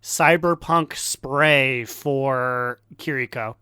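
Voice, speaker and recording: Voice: mid-range voice, very slow, pushed and bright, clean tone, dry recording, studio quality. A podcast co-host chatting casually about games in a wry, jokey and emphatic way. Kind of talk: casual gaming banter.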